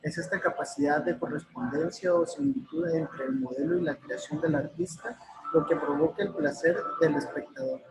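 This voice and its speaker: A man reading a text aloud for his class, his voice a little high for a man. A crowd is clearly heard behind him. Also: steady tone, normal speed, poor audio.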